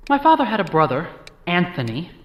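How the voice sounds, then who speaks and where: A man's voice: high-pitched, wildly swooping pitch, fairly quick, a bit bright, highs cut off, heavily acted. An actor playing a wry, guilt-ridden young American man recounting his family history in an audio drama. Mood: wry, explaining. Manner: wry, sardonic, confiding. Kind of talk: audio drama monologue, conversational storytelling.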